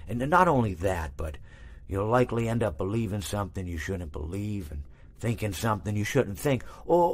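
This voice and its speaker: A man's voice: lowish voice, breathy edge. A man voicing a long-winded male hippo storyteller from a game, meandering from tangent to tangent with stammered restarts. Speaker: game character voice lines. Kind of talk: game voice acting.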